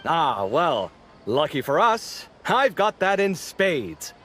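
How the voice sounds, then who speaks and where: A man's voice: fairly high pitch, very animated, takes it slowly, a bit bright, a little hiss or hum, heavily acted. A man voicing a friendly, chatty young male architect with an easygoing, slightly dramatic manner. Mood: indignant. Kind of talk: game voice acting. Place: studio.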